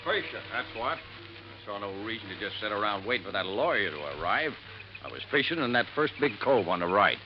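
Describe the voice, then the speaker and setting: An actor playing a rough, defensive male murder suspect in a 1950s radio drama, gruff and hesitant. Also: on the low side, big pitch swings, brisk, over music. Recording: muffled, noisy old radio recording.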